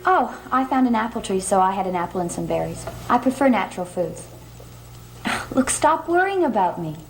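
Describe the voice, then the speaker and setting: A woman's voice: mid-range voice, sing-song, moderate pace, a little hiss or hum, poor audio. An actress playing an otherworldly, self-possessed young woman in a gothic television soap, with a cool, enigmatic manner. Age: young adult. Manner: cool, enigmatic, declining politely. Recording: old TV soundtrack with organ music.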